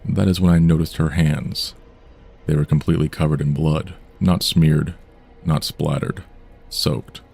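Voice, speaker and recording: Very deep-voiced man narrating horror. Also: steady tone, gravelly, darkish tone, slightly rough, faint music behind. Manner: measured, somber storytelling delivery. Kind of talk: scripted true-crime horror narration.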